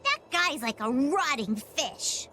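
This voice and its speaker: A woman's voice: high voice, big pitch swings, pushed and bright, soft background music, exaggerated voice. A quirky little pirate girl. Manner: oddball, drawn-out. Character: quirky young girl pirate, odd sayings. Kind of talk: video game cutscene acting.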